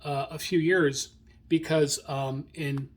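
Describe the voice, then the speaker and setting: A middle-aged or older American man hosting an informal radio hobby monologue, rambling haltingly and repeating phrases. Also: fairly high pitch, fairly even intonation. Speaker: radio hobby show host.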